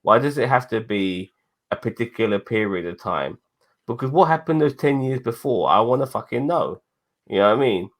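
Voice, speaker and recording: A man's voice: mid-range voice, lively intonation, normal speed. A man in his forties, co-hosting a casual chat, rambling and swearing often as he talks. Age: 40s. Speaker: podcast co-host.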